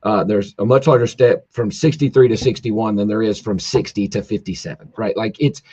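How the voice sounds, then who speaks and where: A man who races drag cars, hoarse from yelling, talking in a rambling casual way full of fillers and restarts over a call. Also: on the low side, steady tone, a little fast, no echo, lo-fi sound. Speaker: drag racer guest. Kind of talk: casual podcast chat. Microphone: video call, home internet.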